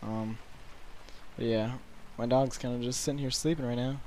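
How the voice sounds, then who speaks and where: An eighteen-year-old man with a hoarse, sore-throated voice, rambling through casual late-night gameplay commentary from his bedroom with lots of fillers. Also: mid-range voice, fairly even intonation, warm tone, slight background noise, poor audio. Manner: rambling, halting with many fillers. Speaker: gaming commentator.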